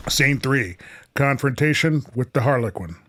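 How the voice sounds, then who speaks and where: Male presenter announcing scenes, mid-pitched voice. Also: expressive, normal speed, noisy background. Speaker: presenter of an AI-written radio play. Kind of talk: announcing scenes, reading out prompts.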